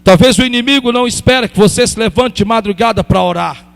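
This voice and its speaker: High-voiced gravelly preacher in echoing hall. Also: male voice, fast talker. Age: 50s. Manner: repetitive, emphatic, calls on the congregation. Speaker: pastor. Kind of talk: sermon. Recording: long hall reverb.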